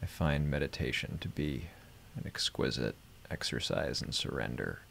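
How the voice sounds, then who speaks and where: A man hosting a live stream, guiding meditation and chatting casually in a gentle, unhurried, reflective way. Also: rumbling low, vocal fry, a little hiss or hum.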